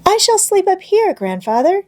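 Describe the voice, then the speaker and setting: An eager little girl from a storybook, acted out by a woman narrator. The voice lifts high and lilting, innocent and childlike, with cheerful, plain-spoken delight. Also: big pitch swings, normal speed, darkish tone. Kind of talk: storybook read-aloud character voice.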